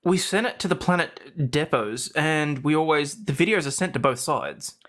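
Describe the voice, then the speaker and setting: A man's voice: fairly high pitch, normal speed, fairly bright tone. A man chatting casually as a co-panelist and reading script lines.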